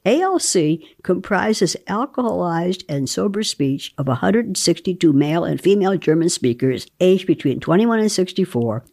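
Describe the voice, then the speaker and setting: A woman reading research text aloud in a deliberate, articulate way, breaking off for wry spontaneous asides. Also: lowish voice, lively intonation, gravelly, darkish tone. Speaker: guest reader and commentator.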